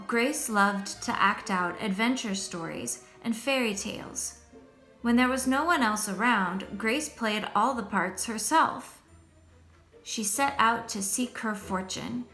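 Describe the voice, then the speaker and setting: Bright-voiced woman reading slowly aloud. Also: a little high. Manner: expressive, deliberate storytelling delivery. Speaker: teacher reading a picture book to children. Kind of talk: storybook read-aloud.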